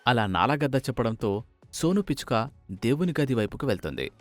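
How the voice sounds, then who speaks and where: A man's voice: mid-range voice, very animated, fairly quick, no echo, faint music behind. A man narrating a scripted children's cartoon story as its storyteller.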